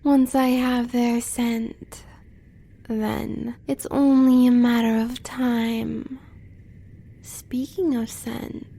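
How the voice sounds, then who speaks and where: An American woman playing a sly, devoted werewolf huntress in an audio roleplay, teasing and breathy. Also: on the high side, flat delivery, mellow, full character voice. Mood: confident, predatory. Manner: teasing, breathy, drawn-out delivery.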